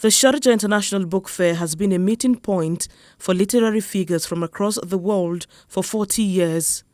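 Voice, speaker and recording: A woman's voice: medium pitch, moderate pace, a little mellow. A woman reading a scripted news report. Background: music.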